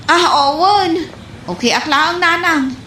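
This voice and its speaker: Very high-voiced flustered Ilocano wife, acted by a woman. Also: slow pace, pushed and bright, exaggerated voice. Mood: worried. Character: flustered, evasive wife and dutiful daughter. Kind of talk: radio comedy drama acting. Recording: echoey, faint background noise.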